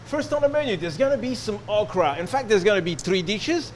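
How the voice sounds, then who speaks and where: A man's voice: high voice, big pitch swings, fairly quick. A man from France with a French accent, speaking as a chef giving a casual cooking demonstration in a kitchen. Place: restaurant-style kitchen. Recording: noisy kitchen ambience.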